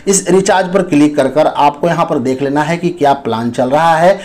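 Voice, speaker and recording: Man with higher-pitched voice, app tutorial. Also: moderate pace, darkish tone. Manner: instructional, addresses viewers as friends. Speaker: tutorial host. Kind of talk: informal step-by-step app tutorial narration.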